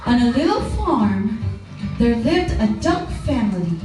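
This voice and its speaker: A woman's voice: a little high, big pitch swings, measured pace, warm tone. A woman narrating a scripted children's story as the storyteller of a puppet show. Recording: very echoey room, distant mic, music.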